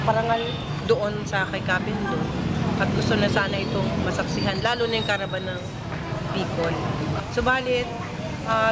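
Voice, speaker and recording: A woman giving a spontaneous interview account, halting as she searches for words. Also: on the high side. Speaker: human rights activist interviewee. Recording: very loud street and vehicle noise.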